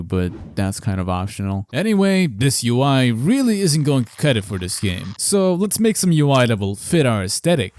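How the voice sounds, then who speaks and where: A man's voice: mid-range voice, darkish tone, no room sound, clean studio sound. A man narrating a scripted but casual video devlog in a self-deprecating, sarcastic way.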